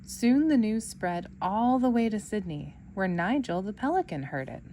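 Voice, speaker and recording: Lady reading a storybook out loud for kids, expressive narrator. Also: medium pitch, moderate pace, a little hiss or hum. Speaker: storyteller reading a children's book aloud.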